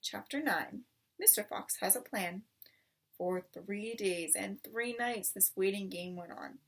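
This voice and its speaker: A woman's voice: medium pitch, unhurried, far from the mic. A woman reading a children's book aloud as a storyteller. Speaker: storybook reader.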